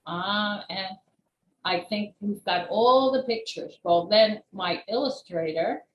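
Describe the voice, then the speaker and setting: A woman's voice: medium pitch, slow pace, muffled recording. A woman talking as an interview guest, an author explaining her work in careful detail. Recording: roomy echo, faint background noise.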